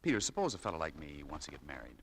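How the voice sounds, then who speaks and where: A man's voice: very deep voice, huge pitch swings, very fast, vocal fry, exaggerated voice. A man playing an earnest, testy young store clerk in a 1940s radio drama. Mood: confidential. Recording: faintly noisy old 1940s radio recording.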